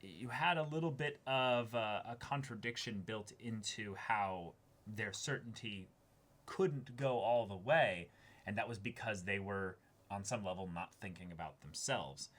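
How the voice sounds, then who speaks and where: Bright-voiced man in casual analytical discussion. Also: on the low side, measured pace. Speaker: co-host of an anime reaction discussion.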